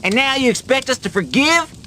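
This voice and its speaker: A man's voice: very high-pitched, animated, fairly quick, pushed and bright, slight background noise, full character voice. A man playing a hot-headed, accusing hero with a confrontational delivery in a video game cutscene. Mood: angry, accusing.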